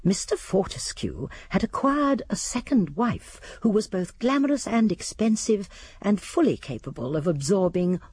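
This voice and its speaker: Elderly English lady sleuth, prim, shrewd, very breathy and husky, expressive intonation, radio drama narration. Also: mid-range voice, moderate pace, no echo. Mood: prim, gossipy. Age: older. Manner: prim, precise storytelling. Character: genteel, shrewd elderly spinster sleuth, primly judgmental.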